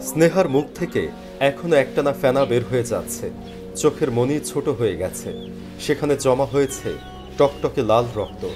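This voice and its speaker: A man's voice: mid-range voice, expressive, moderate pace. A man giving dramatic, scripted first-person narration of a story. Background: background music.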